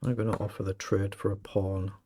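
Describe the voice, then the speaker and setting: A British man with a slightly croaky voice, casually commentating his own chess game and thinking aloud. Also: fairly low pitch, level tone, fairly quick, mellow, a little hiss or hum. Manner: thinking aloud, self-questioning. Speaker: chess streamer.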